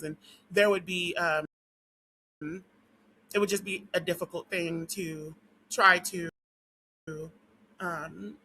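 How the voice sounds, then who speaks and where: Hoarse, very bright-voiced woman ranting. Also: lowish voice, low-quality recording. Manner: rambling and profane, with frequent "um" and "what do you call it". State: losing her voice, dry and hoarse. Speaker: vlogger. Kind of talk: casual rant to camera.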